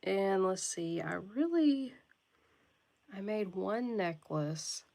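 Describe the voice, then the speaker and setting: A woman with a congested, stuffy voice talks in an unhurried, leisurely way during a live online sale. She greets her viewers one by one and pauses often to clear her throat. Her pitch sits in an ordinary middle range for a woman. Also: expressive, dark tone. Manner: friendly greetings to viewers by name; occasional coughing and throat clearing. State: stuffy nose from a cold. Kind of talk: casual livestream chat.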